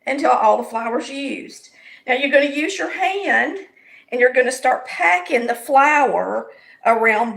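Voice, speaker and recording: A woman with a Southern US accent and a raspy, hoarse voice that sits a touch high. She talks folksily and explains every step as she cooks in her home kitchen, sounding middle-aged. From American South. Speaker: home cooking show host. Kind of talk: casual cooking demonstration.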